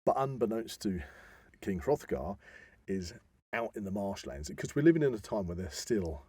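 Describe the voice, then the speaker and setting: A man's voice: lowish voice, lively intonation, moderate pace, mellow, husky, no echo. A man co-hosting a podcast, explaining a story in a hesitant, digressive, conversational way. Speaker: podcast co-host.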